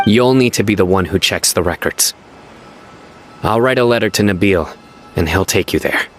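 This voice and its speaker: An actor playing a stern, composed young male investigator who speaks in a measured, serious way, in a fan dub of a game. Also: fairly low pitch, close to an everyday voice. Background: background music.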